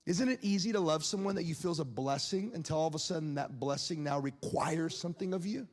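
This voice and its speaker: High-voiced young man preaching in a hall. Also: fast. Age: 20s. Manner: candid, conversational preaching with laughs and asides to the crowd. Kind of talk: sermon.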